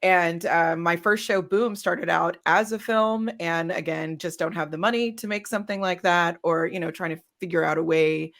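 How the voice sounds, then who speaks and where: A woman's voice: on the low side, fairly even intonation, moderate pace, few pauses, fairly bright tone. A woman speaking casually and off the cuff as a filmmaker on a panel.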